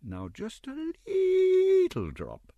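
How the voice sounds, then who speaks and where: A man voicing a fawning, scheming middle-aged woman nurse with a shrill, gushing, wheedling manner. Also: wildly swooping pitch, very slow, warm tone, dry recording, highs cut off, full character voice.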